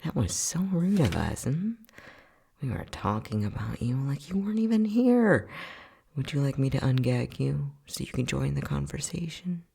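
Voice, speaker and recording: A man's voice: mid-range voice, big pitch swings, moderate pace, creaky, warm tone, breathy edge, full character voice. A man playing an unhinged, bossy scientist who teases and condescends in a sardonic ASMR roleplay. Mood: smug, playful. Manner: sardonic, teasing, condescending, with frequent sighs.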